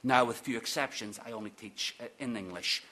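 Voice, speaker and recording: A man's voice: medium pitch, a little fast, sharp tone. A middle-aged man from Ireland reading a prepared lecture at a podium in a conference hall, with dry, wry asides. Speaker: academic speaker. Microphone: podium microphone.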